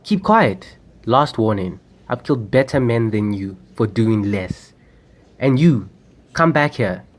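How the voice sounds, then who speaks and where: A man's voice: lowish voice, sing-song, slow, exaggerated voice. A young man acting a commanding, impatient armed bank robber in an amateur radio drama. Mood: impatient threats. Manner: commanding, curt. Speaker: student actor.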